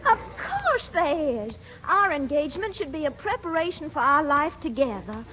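An actress playing a coy, genteel lady who gently scolds and coaxes her suitor in 1940s radio comedy style. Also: sits high, big pitch swings, moderate pace, heavily acted. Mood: gently scolding. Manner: coquettish, prim, coaxing. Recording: muffled, noisy 1940s radio recording.

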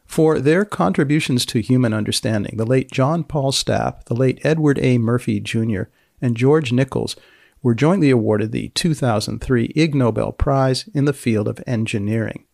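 A man hosting a podcast, reading scripted narration in a measured, deliberate, dryly deadpan way. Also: mid-range voice, vocal fry, no echo, clean studio sound. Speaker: podcast host.

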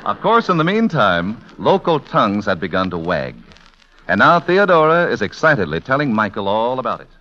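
A man's voice: on the low side, very animated, normal speed, slight background noise. A man announcing and narrating a 1940s radio drama in a formal, theatrical manner. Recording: dull vintage radio, loud music behind. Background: music.

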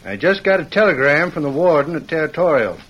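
An actor playing a plain-spoken, dutiful sheriff in an old radio western, matter-of-fact in manner. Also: male voice, on the high side, sing-song, fast. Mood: serious, warning. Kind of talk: 1940s-50s radio western acting. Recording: dull, noisy old radio recording.

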